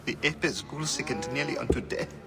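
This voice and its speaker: An actor playing a troubled male high priest who stammers and pleads. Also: lightly acted. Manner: halting, stammering, desperate. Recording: game audio with reverb and music.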